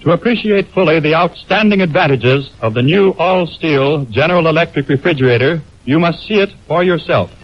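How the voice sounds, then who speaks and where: An American man announcing on 1920s radio, reading a formal script in a measured way, on a muffled, noisy old broadcast recording. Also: on the high side. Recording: muffled, noisy 1929 radio aircheck on film.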